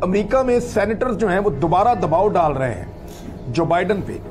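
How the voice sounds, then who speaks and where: A man's voice: high voice, a little mellow, a little hiss or hum. A man gives conversational news commentary as a host, speaking emphatically with repeated phrases and rhetorical questions.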